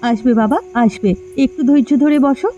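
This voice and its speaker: A woman's voice: sits high, normal speed, warm tone, soft background music, natural voice. A woman voicing a patient, practical village mother in a story cartoon, on a dull lo-fi recording. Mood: soothing. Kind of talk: Bengali moral story cartoon dubbing.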